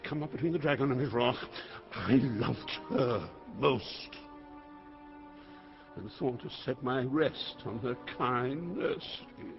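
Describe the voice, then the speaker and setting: A man's voice: mid-range voice, slow, gravelly, faint music behind. An actor playing a proud, rash old king who declaims grandly in classical Shakespearean stage style. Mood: cold, wounded. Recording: muffled, long hall reverb.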